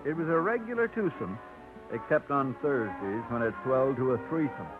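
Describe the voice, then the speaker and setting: An actor narrating a 1950s radio drama as a wry storyteller of small-town life. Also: male voice, mid-range voice, expressive, moderate pace, close to an everyday voice. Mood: wry storytelling. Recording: tinny old radio, loud music behind.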